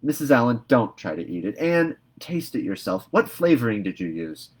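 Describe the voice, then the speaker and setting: A man voicing a stern, sharp-tongued elderly spinster woman in a storybook reading, brisk and scolding. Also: medium pitch, big pitch swings, breathy edge. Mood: exasperated.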